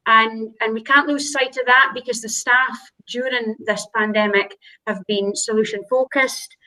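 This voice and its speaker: A woman's voice: a little high, steady tone, normal speed, bright, forceful tone, poor audio. Woman with a Shetland accent on a call. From Shetland, Scotland. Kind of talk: video call discussion. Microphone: video call.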